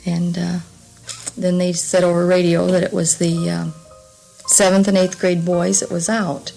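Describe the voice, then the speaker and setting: A woman's voice: lowish voice, level tone, measured pace, vocal fry, warm tone, soft background music, poor audio. A woman giving a plain-spoken, hesitant recollection in a 1950s radio interview. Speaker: interviewed parent. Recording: 1950s radio documentary tape.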